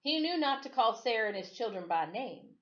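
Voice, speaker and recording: A woman reading a children's story aloud as expressive storytime narration. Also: on the high side, moderate pace, dull audio. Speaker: storytime reader.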